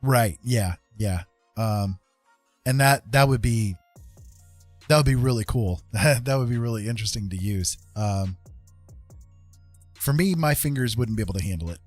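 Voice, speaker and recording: Man, lowish pitch, live stream host, casual rambling chat, hesitant pauses, repeated phrases, soft background music. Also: animated, no room sound. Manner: hesitant, pausing mid-thought, repeating phrases.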